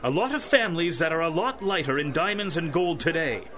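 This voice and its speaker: An actor playing a flustered, blustering police chief who is formally polite and stammers, in a 1930s-style radio drama. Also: male voice, on the high side, animated, a little fast, sharp tone. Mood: exasperated.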